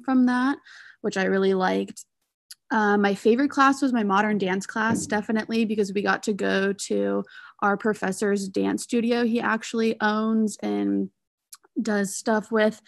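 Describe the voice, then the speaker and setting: A young woman in her twenties speaks in a relaxed, chatty way, dropping ums and likes as she goes. Her voice carries a gravelly fry, and the call audio sounds dry and close. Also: medium pitch, no echo. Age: 20s. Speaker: student panelist. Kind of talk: casual panel talk. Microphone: video call.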